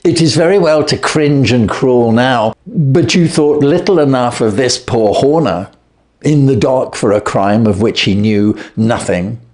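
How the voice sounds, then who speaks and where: A man reading aloud and voicing a stern, clever detective who calmly accuses a thief. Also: medium pitch, pitched above the performer's own voice, natural voice. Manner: stern, cutting, measured. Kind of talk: reading a classic story aloud.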